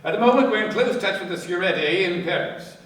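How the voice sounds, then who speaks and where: A man playing a methodical, cautious police inspector in a radio play, deliberate and given to thoughtful hums and pauses. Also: high voice, lively intonation, fairly bright tone. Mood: analytical, brisk. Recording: very echoey, distant, with background noise.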